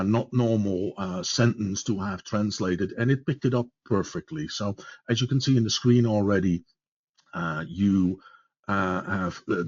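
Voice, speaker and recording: A man with a Dutch accent presenting a product in a webinar, speaking haltingly with many fillers and repeated words. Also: fairly low pitch, dry recording, muffled recording. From Netherlands.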